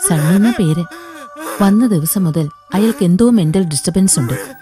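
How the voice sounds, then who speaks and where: A woman's voice: on the low side, lively intonation, moderate pace, loud music behind the voice, lightly acted. A woman playing a matter-of-fact, slightly wry nun in a film drama. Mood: calm, explaining. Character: matter-of-fact nun helping at a rehab centre.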